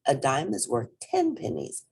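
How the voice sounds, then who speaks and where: A woman voicing a calm, patient mother rabbit in a storybook, gently reassuring and explaining. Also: deep voice, unhurried, gravelly, slightly rough, full character voice.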